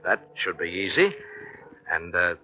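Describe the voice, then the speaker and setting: Low-voiced, earnest painter. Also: male voice, lively intonation, unhurried. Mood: polite, flustered. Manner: polite, hesitant, earnest. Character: struggling male painter, devoted husband, earnest and hesitant. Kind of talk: 1940s radio drama acting. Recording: dull lo-fi 1940s radio recording.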